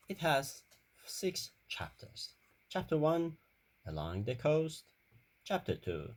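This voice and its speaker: Slow, lilting man reading a children's story. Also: fairly high pitch, a little mellow. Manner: deliberate, careful reading in short broken phrases. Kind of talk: read-aloud children's bedtime story.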